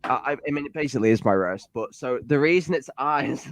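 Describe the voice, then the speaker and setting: A man's voice: medium pitch, lively intonation, a little fast, dry recording. A young man, a guest in a casual livestream chat, rambling with jokey, self-deprecating asides.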